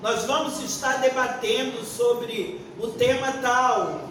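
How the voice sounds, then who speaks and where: A Brazilian woman of sixty-one answering on a forum panel like a pastor, deliberate and emphatic, searching for words as she goes. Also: a little high, steady tone, a bit bright. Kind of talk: unscripted panel answer with preaching tone. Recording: echoey room, distant mic, faint noise.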